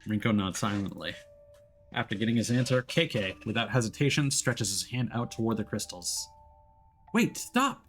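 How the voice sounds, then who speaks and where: A man's voice: on the low side, fairly quick, fairly bright tone, faint music behind. A man streaming a game, switching between reading narration aloud and casual commentary.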